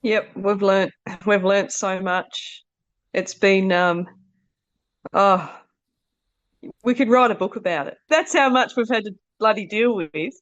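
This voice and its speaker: A woman's voice: medium pitch, takes it slowly, pauses often, lo-fi sound. A woman guest on a podcast in casual chat, laid-back, laughing easily and swearing casually.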